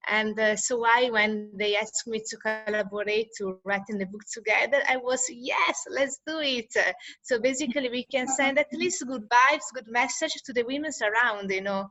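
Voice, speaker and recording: A woman in her forties from Italy with an Italian accent, a midwife talking earnestly on a panel in halting English and laughing often. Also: fairly high pitch, bright, forceful tone, no echo, low-quality recording. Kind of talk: casual panel chat.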